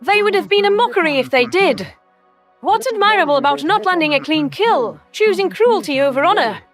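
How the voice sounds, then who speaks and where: A woman voicing an ancient alien predator soldier in a sci-fi audio story, with a very high voice. The speech is smooth, formal and reproachful, with faint music beneath. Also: animated, fairly quick. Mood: earnest, grieving. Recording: music under the voice.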